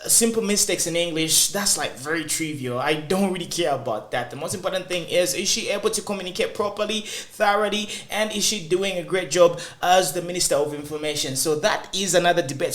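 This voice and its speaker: Chatty Zambian man hosting, high voice. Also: a little fast, hardly any pauses. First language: Lozi. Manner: chatty, animated, thinking aloud. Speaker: host. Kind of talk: casual podcast discussion.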